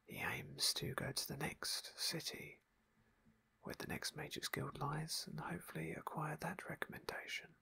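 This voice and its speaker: A man whispering ASMR narration of a video game playthrough in a soft, unhurried, genteel way. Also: fairly high pitch, fairly even intonation, vocal fry, slightly rough.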